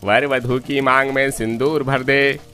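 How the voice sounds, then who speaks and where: An actor playing a solemn wedding priest in a cartoon story. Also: male voice, mid-range voice, little pitch movement, a little slow, pushed and bright, soft background music. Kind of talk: scripted cartoon dialogue.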